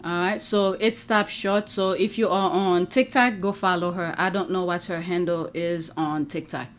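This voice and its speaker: A woman's voice: on the low side, normal speed. A woman whose first language is not English, hosting a casual commentary show and reacting to clips with frequent laughter. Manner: animated, laughs often, talks to her audience. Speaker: host.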